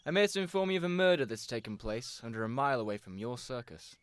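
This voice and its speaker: A man's voice: mid-range voice, expressive, quick pace, clear, resonant tone, natural voice. A man playing a brusque, suspicious police inspector in an amateur radio drama, businesslike and probing. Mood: brisk, authoritative. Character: brusque, suspicious male police inspector questioning circus performers. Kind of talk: amateur 1920s-set radio drama acting.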